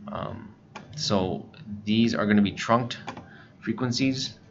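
Casting: American male tutorial narrator, lowish pitch, casual instructional delivery, slightly lo-fi sound. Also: takes it slowly. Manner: informal, step-by-step explaining. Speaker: instructor, scanner shop staff. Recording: muffled lo-fi voice-over, faint background noise.

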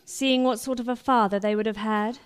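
Woman acting a cutting wife, highish voice. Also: fairly even intonation, a little fast, clear, resonant tone, close to an everyday voice. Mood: bitter, cold. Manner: cutting, cool. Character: bitter, blunt, disillusioned wife of a failed painter. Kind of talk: radio play acting.

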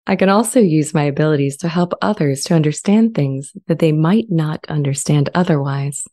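Woman as a low, mellow, earnest AI. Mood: calm, wistful. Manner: measured, earnest, articulate. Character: sentient AI language model, earnest, gentle, eager to be accepted. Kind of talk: dramatized transcript reading. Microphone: close studio microphone with pop shield.

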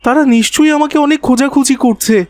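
A man voicing a polite, gentle young village boy in a cartoon. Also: very high voice, fast, dry recording, clean studio sound. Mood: weak, grateful. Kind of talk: cartoon voice acting.

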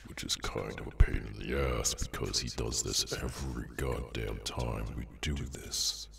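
A man voicing a weary, menacing demonic henchman in an ASMR audio roleplay, sardonic and low-key, with a gravelly voice. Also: heavily acted. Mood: matter-of-fact menace. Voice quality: very deep, gravelly, creaky. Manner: slow, low-key, casually sinister, sardonic.

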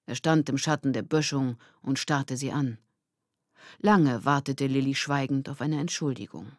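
A woman's voice: very deep voice, fairly even intonation, a little slow, no room sound, studio quality. A woman reading a children's novel aloud as scripted audiobook narration.

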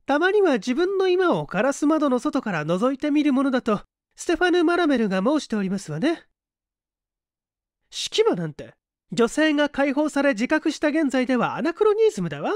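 Audiobook role: young cashier woman, played by a man, high, lively, cutting and stiffly polite. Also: dry recording, studio quality. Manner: prim, sarcastic politeness. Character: sharp-tongued huffy young cafe cashier woman.

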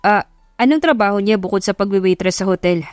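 A woman voicing an earnest, smitten young male CEO in an audio love story, measured and mixing in English. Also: mid-range voice, darkish tone, no room sound, studio quality, faint music behind, close to an everyday voice. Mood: calm, curious.

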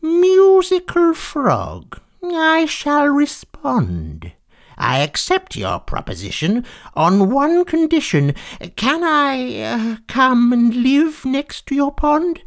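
A storyteller voicing a lonely, theatrical female cat monster who croons slowly and hurls grand insults. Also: high voice, huge pitch swings, pitched above the performer's own voice, full character voice. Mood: wistful. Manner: slow, drawn-out crooning, haughty and insulting. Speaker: storybook figure.

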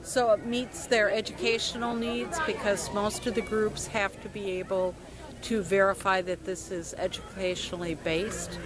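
A woman's voice: medium pitch, level tone, moderate pace, crowd noise. A middle-aged woman who organizes school tours, answering interview questions plainly outdoors on a farm lawn. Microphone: handheld mic held by reporter.

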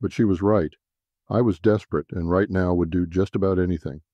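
A male narrator reads a scripted first-person detective story. His voice is fairly low and somewhat mellow. The recording is very dry and close, with little sense of a room. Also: fairly even intonation, a little fast, dry recording, dull audio.

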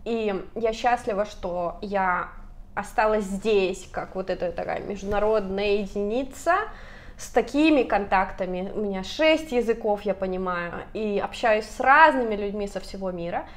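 A woman in her thirties talking to camera in a casual vlog, speaking animatedly and gesturing a lot, recorded on a lapel mic in a bright apartment room. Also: mid-range voice, moderate pace. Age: 30s. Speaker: vlogger.

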